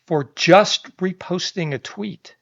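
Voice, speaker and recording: A man's voice: high voice, takes it slowly, creaky, a bit bright. A man, reading a news article aloud and adding casual commentary, with chatty asides, restarts and small chuckles. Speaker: online commentator. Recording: slightly dull lo-fi audio.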